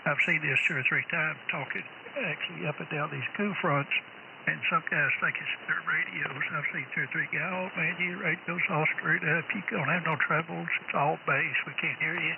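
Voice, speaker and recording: Gravelly man over a hissy radio. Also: fairly high pitch, fairly even intonation, normal speed, phone-quality sound. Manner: advising, explanatory, rambling. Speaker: ham radio operator. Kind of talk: casual radio chat. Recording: noisy shortwave radio reception.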